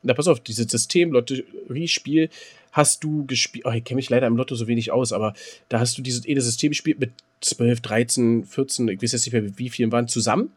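A man's voice: mid-range voice, steady tone, fairly quick. A man co-hosting a podcast in casual chat, with colloquial, teasing banter. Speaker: podcast co-host. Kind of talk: casual chat between two friends.

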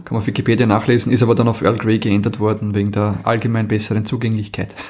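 A man's voice: lowish voice, little pitch movement, very fast, a little mellow. A man with an Austrian accent co-hosting a podcast in casual chat, often repeating words as he thinks aloud. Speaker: podcast co-host.

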